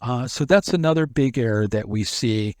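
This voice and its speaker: A man in a middle range of pitch, talking through a technical subject as an interview guest. He keeps going in long stretches and stumbles over repeated words as he restarts. The sound is close and dry. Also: normal speed, darkish tone, dry recording. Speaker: SEO expert guest. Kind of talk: explanatory interview talk.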